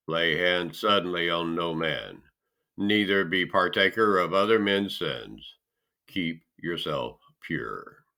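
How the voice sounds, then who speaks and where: A man reading scripture aloud in a solemn style, with emphatic, deliberate phrasing and pauses. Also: low-pitched, creaky, bright, forceful tone. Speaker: scripture reader.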